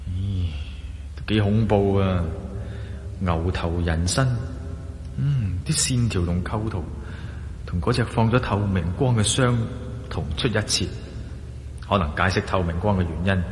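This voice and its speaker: A man's voice: deep voice, animated, a little slow, pauses often. Cavernous reverb, noisy recording, wary male adventurer, Hong Kong Cantonese accent, theatrical radio-play musing. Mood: intrigued, amazed. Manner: theatrical, exclaiming and musing to himself. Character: wary, curious male adventurer exploring a dark ancient temple. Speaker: radio drama lead actor. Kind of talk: Cantonese radio drama monologue, thinking aloud. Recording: echoey long reverb, clearly noisy.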